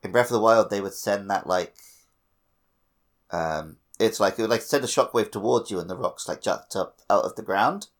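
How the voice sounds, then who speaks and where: A man's voice: fairly low pitch, normal speed. A man co-hosting a podcast, chatting casually about a video game. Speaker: podcast co-host.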